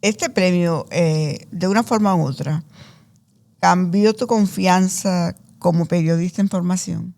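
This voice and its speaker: A woman's voice: lowish voice, measured pace, gravelly, dark tone. A woman interviewing a guest, asking questions and giving short encouraging replies. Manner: brief encouraging backchannels and laughs.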